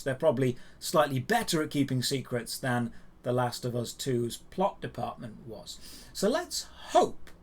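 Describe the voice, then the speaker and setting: Higher-pitched man presenting gaming news. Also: darkish tone. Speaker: host of a gaming news show. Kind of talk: scripted news narration to viewers.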